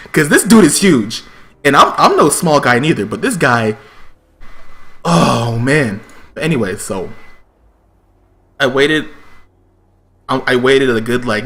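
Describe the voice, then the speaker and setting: A man's voice: mid-range voice, fairly bright tone. A man telling a personal story on a stream in a casual, slangy, profane way, acting out the quotes.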